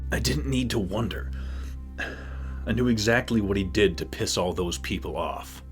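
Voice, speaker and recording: A man's voice: lowish voice, moderate pace, faint music behind, close to an everyday voice. A man playing a self-assured, insolent human bounty hunter in an audio drama, smug and defiant.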